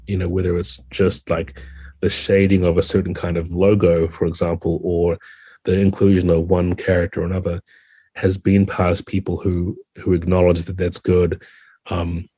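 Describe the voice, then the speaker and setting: A man's voice: low voice, darkish tone, slightly rough, no room sound. A man talking conversationally as a guest game designer on a podcast, earnest and thoughtful.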